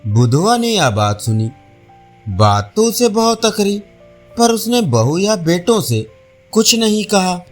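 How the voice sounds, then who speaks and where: High-pitched, slow-paced man telling stories. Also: sing-song. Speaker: storyteller. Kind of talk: scripted audio storytelling. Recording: faint music behind narration. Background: soft instrumental music.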